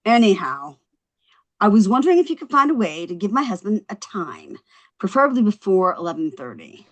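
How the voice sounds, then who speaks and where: A woman at a script table read playing a quick-witted young housewife, chatty, coaxing and wry. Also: mid-range voice, big pitch swings, close to an everyday voice. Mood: polite, persistent.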